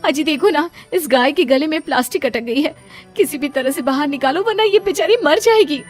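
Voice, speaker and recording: High-pitched young daughter-in-law, played by a woman. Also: lively intonation, a little fast, soft background music. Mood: alarmed. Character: young devout daughter-in-law, dutiful but reluctant worker.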